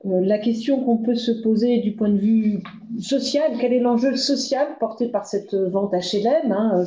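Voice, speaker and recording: A woman giving a semi-improvised expert presentation on a web conference, halting often with drawn-out hesitations. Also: mid-range voice, mellow. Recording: dull, echoey web conference audio.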